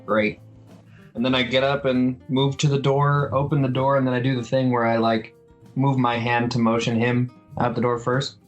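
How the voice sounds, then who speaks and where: A man's voice: medium pitch, little pitch movement, moderate pace, lo-fi sound, faint music behind. A man chatting casually as he plays a tabletop role-playing game, describing what his character does.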